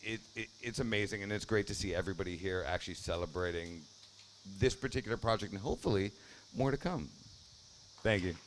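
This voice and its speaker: A man with a lowish voice speaks off the cuff at an outdoor ceremony, upbeat and repeating words as he builds up. Faint crickets hum behind him. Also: brisk. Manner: energetic, congratulatory, repeats himself. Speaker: funding partner speaker. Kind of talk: informal ceremony remarks. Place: outdoors at a ribbon cutting.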